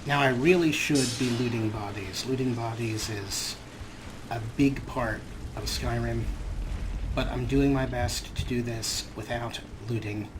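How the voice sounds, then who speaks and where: A man's voice: medium pitch, unhurried, noisy background. A man hosting a let's play, explaining game mods with dry, wry humor in casual commentary.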